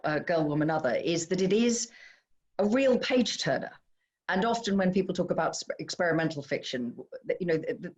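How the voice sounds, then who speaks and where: A woman's voice: sits low, dull audio. A British woman hosting a live online literary conversation, effusive and welcoming as she chairs it over a video call. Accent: British English. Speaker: event host chairing author discussion.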